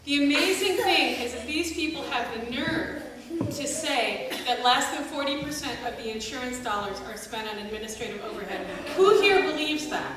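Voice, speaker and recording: Middle-aged American woman, wry public speaker, sarcastic, very echoey church, clearly noisy recording. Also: high voice, animated, normal speed. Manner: sarcastic, exasperated. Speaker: family doctor speaking as an activist. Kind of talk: wry live public speech to an audience. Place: live concert event in a church. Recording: echoey hall from far off, noisy.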